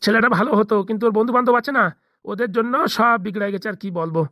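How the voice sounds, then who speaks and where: Casting: worried, kindly middle-aged landlord for comedy cartoon, acted out, Bengali accent, breakneck colloquial delivery, medium pitch. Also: rapid-fire, pushed and bright, no room sound, studio quality. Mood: complaining. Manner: chatty, fussing, colloquial.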